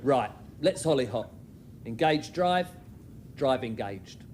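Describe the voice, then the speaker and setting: A man's voice: mid-range voice, animated, slow. A British man playing a pedantic, smug, bungling genius at a ship's controls in a sitcom, unflappable and self-assured. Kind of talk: sitcom acting. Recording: noisy, loud ambience behind.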